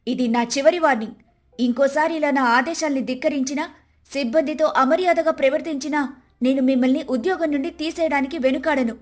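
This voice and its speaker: A woman's voice: high voice, fairly quick, natural voice. A woman voicing a firm, principled young daughter-in-law in a dramatised story, stern and composed as she gives orders. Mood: stern, commanding. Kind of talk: dramatised Telugu moral story.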